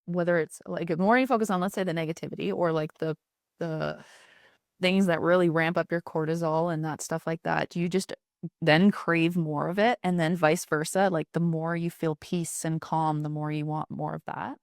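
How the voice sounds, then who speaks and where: A woman's voice: low-pitched, fairly even intonation, moderate pace, dry recording, clean studio sound. A woman podcast host talking in a chatty, rambling way with many filler words. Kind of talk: casual podcast conversation.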